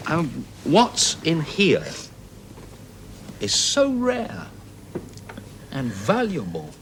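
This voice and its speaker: An actor playing a self-assured, paternal research boss, coaxing and persuasive in 1970s television drama style. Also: male voice, fairly high pitch, huge pitch swings, extremely slow, a bit bright, full character voice. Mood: teasing, persuasive. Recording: faintly hissy old television soundtrack.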